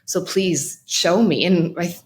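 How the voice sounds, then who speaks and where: Low-voiced woman telling a halting personal story. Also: a little mellow, slight background noise. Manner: halting, reflective, with frequent restarts and pauses. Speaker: podcast guest, mental health practitioner.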